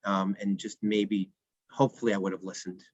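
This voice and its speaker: Low-voiced man, dull dry recording. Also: little pitch movement, brisk, husky, no room sound. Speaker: panelist giving wellness advice. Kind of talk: advice in a panel discussion. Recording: dull, band-limited audio.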